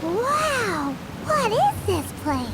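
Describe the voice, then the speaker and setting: A woman voicing a tiny, childlike, excitable fairy companion with a squeaky voice in a video game. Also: extremely slow, background noise, exaggerated voice. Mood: amazed.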